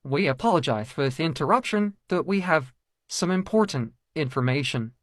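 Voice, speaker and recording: A man's voice: a little high, animated, normal speed, slight background noise, lightly acted. A man playing a TV continuity announcer, formally and apologetically interrupting with grave news. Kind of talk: amateur mock emergency broadcast announcement.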